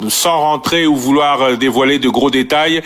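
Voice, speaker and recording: A man interviewing on the radio, putting questions in a halting, deliberate way. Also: mid-range voice, fairly even intonation, a bit bright.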